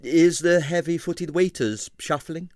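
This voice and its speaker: A man's voice: a little high, a little slow, a little mellow, dry recording, studio quality, voice pushed up, close to an everyday voice. A man voicing a polite, ingratiating male detective in a storybook reading. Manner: placating, ingratiating.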